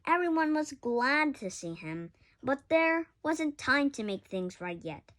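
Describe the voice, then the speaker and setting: A woman with a fairly high voice reads a children's story at a slow pace. Her delivery is expressive, and she now and then stumbles over a word and corrects herself. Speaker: story reader. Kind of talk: children's picture-book read-aloud.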